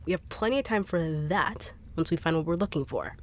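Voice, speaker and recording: Low-voiced blunt, sarcastic woman. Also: animated, brisk. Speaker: voice actor in audio roleplay. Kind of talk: acted audio roleplay.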